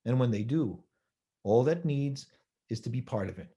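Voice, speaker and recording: A man giving a measured holiday sermon, building his points through repeated phrases and rhetorical questions. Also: medium pitch, expressive, dark tone. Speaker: rabbi giving a holiday talk.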